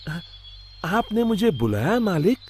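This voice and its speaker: A man voicing a naive, honest, timid servant in an animated moral story, deferential and pleading. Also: sits high, slow, slight background noise, pitched above the performer's own voice, full character voice. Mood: meek, obedient.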